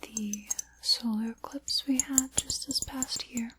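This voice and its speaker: A woman playing a sly, chaos-loving dark witch in an ASMR roleplay, whispering breathily and speaking softly with theatrical, menacing politeness. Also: a little high, steady tone, slow. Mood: wry, sly. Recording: clearly audible background noise.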